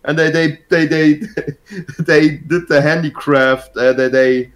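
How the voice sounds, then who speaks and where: A man's voice: fairly high pitch, steady tone, takes it slowly. A man co-hosting a podcast, rambling through stories with frequent laughs, talking from a hotel room. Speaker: podcast co-host. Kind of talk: casual chat.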